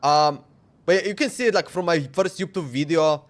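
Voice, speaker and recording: A man's voice: on the high side, moderate pace. A man chatting casually on a game livestream in an informal, slangy, repetitive way. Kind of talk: casual stream chat.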